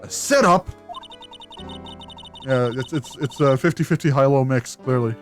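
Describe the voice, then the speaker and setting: A man's voice: mid-range voice, steady tone, brisk, over music. A man hosting a game playthrough, chatting casually in an informal, joking way. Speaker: playthrough host. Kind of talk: casual gameplay chat.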